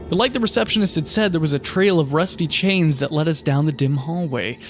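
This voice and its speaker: A man playing an earnest, easily flustered field journalist in a comedic audio drama. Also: fairly high pitch. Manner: stammering when addressing people. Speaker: reporter. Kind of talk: comedic audio drama, mock news report.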